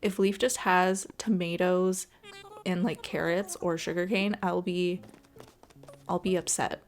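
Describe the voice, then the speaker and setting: A woman giving casual, chatty and expressive voice-over commentary as she plays a video game. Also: lowish voice, measured pace. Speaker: gaming video creator. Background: background music.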